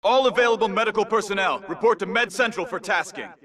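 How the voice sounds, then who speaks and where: A man voices a brisk military announcer aboard a game warship. His businesslike orders come in a high male voice, somewhat distant, with crowd noise behind. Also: lightly acted. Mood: brisk, official. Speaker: video game voice actor. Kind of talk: military public address announcements.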